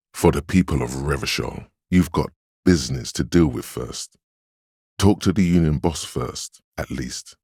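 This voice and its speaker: A man playing a stern, weary, protective inner voice of a detective in a video game, with measured, grave, dryly sardonic delivery. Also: no room sound, clean studio sound, exaggerated voice. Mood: earnest, solemn. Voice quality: very deep, smooth, resonant. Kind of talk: scripted game dialogue and narration.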